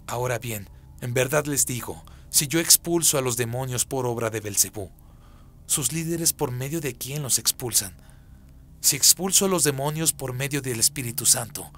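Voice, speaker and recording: A man's voice: mid-range voice, normal speed, fairly bright tone, a little hiss or hum, natural voice. Man playing firm, emphatic prophet. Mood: solemn teaching. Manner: emphatic, solemn, preaching. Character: Jesus, firm authoritative male prophet and teacher. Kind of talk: radio drama acting.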